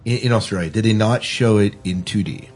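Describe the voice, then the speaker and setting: A man's voice: fairly low pitch, fairly quick, darkish tone, soft background music. A man hosting a casual film discussion, putting questions and giving his own opinions. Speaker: podcast host.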